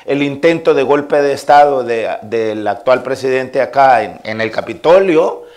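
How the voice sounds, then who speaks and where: A man's voice: mid-range voice, animated, a little slow, darkish tone. A man in his fifties from El Salvador with a Salvadoran Spanish accent, preaching a sermon as a pastor in a repetitive, listing cadence with frequent amens.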